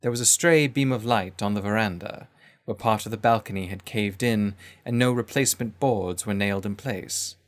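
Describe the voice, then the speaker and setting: A man's voice: fairly low pitch, normal speed. A man reading scripted literary narration as a storyteller.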